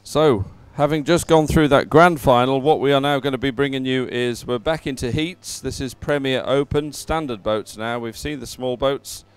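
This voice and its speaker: A man giving casual, informal live sports commentary in a clear voice, often hesitating as he thinks aloud. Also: mid-range voice, normal speed, few pauses, dry recording.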